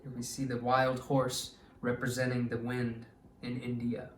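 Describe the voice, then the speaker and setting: A man reading aloud to children as a teacher, with an expressive, deliberate delivery that draws out words. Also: mid-range voice, a little hiss or hum. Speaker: storytime reader and teacher. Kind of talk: read-aloud storytime for children. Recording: echoey room, distant mic.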